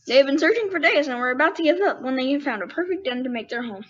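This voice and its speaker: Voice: high-pitched, expressive, quick pace, a bit bright, muffled recording. A ten-year-old child host reading a storybook aloud, with casual asides to the listeners. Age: 10 years old.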